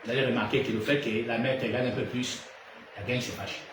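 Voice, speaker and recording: A man from Gabon with a Gabonese French accent, preaching a sermon. He asks rhetorical questions and swings between loud and hushed delivery. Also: mid-range voice, fairly even intonation, fast talker. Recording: echoey room, distant mic, noisy.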